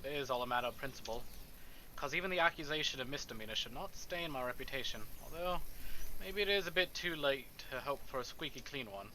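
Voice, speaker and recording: A man reading a visual novel aloud on a stream, narrating a character's thoughts in an expressive, theatrical way. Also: medium pitch, quick pace, low-quality recording. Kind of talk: dramatic narration of a character's inner monologue. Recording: faint background noise.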